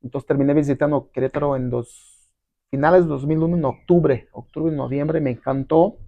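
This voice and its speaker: Gravelly middle-aged man, non-native Spanish. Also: fairly high pitch, steady tone, brisk, no room sound, narrow, tinny audio. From abroad, not Mexico. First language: not Spanish. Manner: rambling, many fillers and tag questions. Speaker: guest entrepreneur. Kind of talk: casual interview storytelling.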